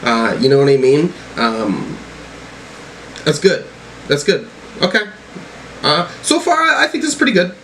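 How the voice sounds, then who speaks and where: A man's voice: fairly high pitch, sing-song, measured pace, pauses often, fairly bright tone, slight background noise, poor audio. A man giving casual album reaction commentary, rambling and swearing freely, often tagging on "right?" and "you know". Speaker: music reaction reviewer.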